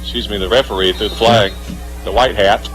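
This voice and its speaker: A man's voice: fairly low pitch, steady tone, fairly quick, a bit bright, faint music behind, poor audio. A man giving live radio analysis of a football game as the color commentator.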